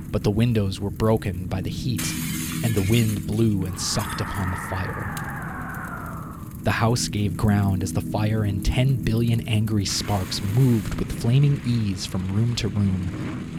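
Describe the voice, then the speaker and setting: A man narrating a scripted short story as audio drama, with deliberate, dramatic phrasing. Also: fairly low pitch. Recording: prominent music behind the narration.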